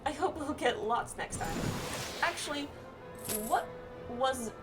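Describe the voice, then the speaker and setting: Higher-voiced woman reading game dialogue over music. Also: animated. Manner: halting read-aloud with reactions. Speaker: gamer reading game dialogue aloud in a playthrough with friends. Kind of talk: casual playthrough, reading dialogue aloud. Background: video game music.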